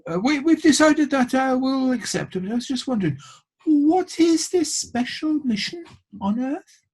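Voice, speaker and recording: A man's voice: very high-pitched, animated, moderate pace, a little mellow, slightly rough, pitched above the performer's own voice, full character voice. A man voicing a polite, eager young girl in a storybook, with a hesitant manner.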